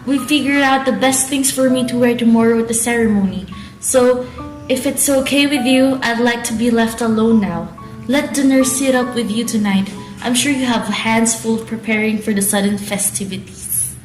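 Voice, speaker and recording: A woman's voice: on the high side, steady tone, moderate pace, natural voice. Woman acting as a troubled daughter, echoey. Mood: polite. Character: troubled young daughter, outwardly obedient, inwardly fearful. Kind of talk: student radio drama acting. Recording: roomy echo, music underneath.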